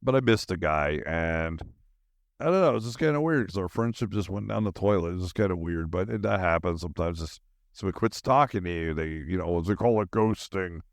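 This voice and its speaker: A man's voice: sits low, brisk, no room sound, studio quality. A middle-aged man hosting a podcast, talking in a loose, meandering, informal and slangy way about his own life. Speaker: podcast host. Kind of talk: rambling casual personal monologue.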